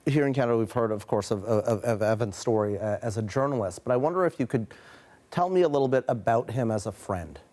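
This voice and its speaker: A man's voice: mid-range voice, expressive, quick pace, mellow. A man hosting a news program, interviewing a guest and repeating words as he starts his questions.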